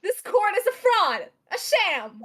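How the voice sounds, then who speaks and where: A woman's voice: extremely high pitch, sing-song, measured pace, a bit bright, slight background noise, exaggerated voice. A woman voicing a haughty, furious young prosecutor in a melodramatic comic fan dub.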